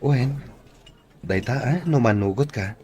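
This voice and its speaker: An actor playing an earnest, tender young man in an Ilocano radio drama, speaking sincerely and deliberately with an Ilocano accent. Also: mid-range voice, a little mellow, close to an everyday voice. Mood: eager.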